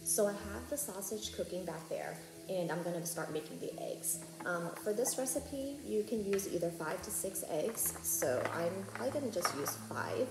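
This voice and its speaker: A woman's voice: mid-range voice, normal speed, hardly any pauses. Chatty young woman in an echoey kitchen. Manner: relaxed, chatty, with frequent "um" and "go ahead". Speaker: cooking vlogger. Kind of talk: casual cook-along talk to camera. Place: home kitchen, indoors. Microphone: camera on a tripod across the counter. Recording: echoey kitchen with background music.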